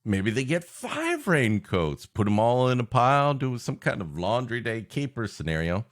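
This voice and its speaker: A man's voice: mid-range voice, sing-song, moderate pace. A man co-hosting a podcast with comedic banter, riffing in a wry, playfully sarcastic way. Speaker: podcast co-host.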